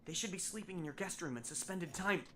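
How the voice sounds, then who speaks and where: A man's voice: high-pitched, fast talker, background noise, lightly acted. A man playing a wry, earnest teenage schoolboy time traveler in an anime fan dub, with a conversational delivery. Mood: apologetic, explaining.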